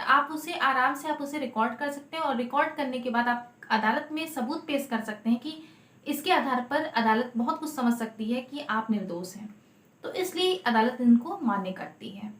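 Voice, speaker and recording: A woman's voice: high voice, a bit bright. A woman explaining law informally as an advocate, emphatic, often repeating and rephrasing her key points. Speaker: lawyer presenting legal advice videos. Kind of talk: informal explanatory legal talk to camera. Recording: echoey untreated room.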